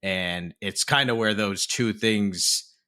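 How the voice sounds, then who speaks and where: A man's voice: fairly low pitch, takes it slowly, bright, forceful tone, clear, resonant tone. A young man co-hosting a film podcast, talking casually and thoughtfully with frequent restarts. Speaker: podcast co-host.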